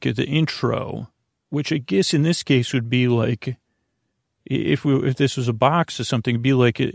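Creaky-voiced man rambling on a bedtime podcast. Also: mid-range voice, a little mellow, dry recording, clean studio sound. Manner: meandering, halting, trailing asides. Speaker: podcast host.

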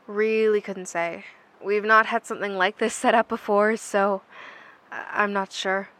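A woman's voice: medium pitch, steady tone, a little slow, fairly bright tone, close to an everyday voice. A woman acting a careful, unsure bunker technician in a horror audio drama. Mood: cautious.

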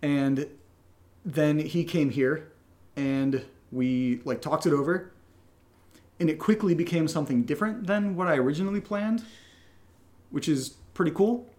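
A man's voice: fairly high pitch, a little slow, pauses often, a little mellow. An American man from Connecticut co-hosting a podcast, answering questions in casual, irreverent, wisecracking chat. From Connecticut, United States. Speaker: podcast co-host and audio drama writer.

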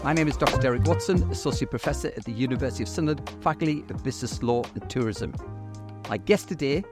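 A man hosting an interview podcast, speaking in formal, measured phrases. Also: medium pitch, lively intonation, loud music behind the voice. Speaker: podcast host, university lecturer. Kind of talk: scripted introduction and interview questions.

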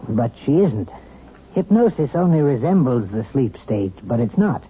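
An actor playing a calm male hypnotist who talks in a soft, measured, persuasive way, in a 1970s radio drama. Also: mid-range voice, slight background noise, natural voice. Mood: coaxing. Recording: tinny old radio broadcast with music.

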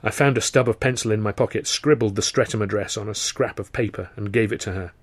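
A man's voice: fairly low pitch, quick pace, no echo. A man reading a first-person novel as a scripted audiobook narration.

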